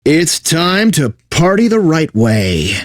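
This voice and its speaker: A man's voice: a little high, very animated, takes it slowly, no room sound, clean studio sound. A man playing a bitter, burnt-out corporate radio DJ in a video game, mixing announcer patter with sarcastic, exasperated asides. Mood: forced radio cheer. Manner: exaggerated announcer delivery with sardonic, exasperated asides.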